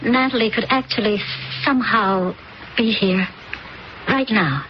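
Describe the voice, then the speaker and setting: A woman's voice: a little high, unhurried, natural voice. An actress playing an anxious middle-aged stepmother in a radio drama, speaking hesitantly and uneasily. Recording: dull old radio recording with hiss.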